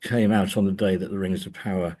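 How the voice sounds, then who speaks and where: A man's voice: deep voice, fairly even intonation, a little fast, mellow. A man speaking as an academic guest in a discursive interview, hesitant and often repeating words as he thinks.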